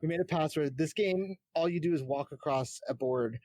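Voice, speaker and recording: A man's voice: a little high, moderate pace, darkish tone, dry recording. A man chatting casually about games as a podcast panelist. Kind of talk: casual gaming chat.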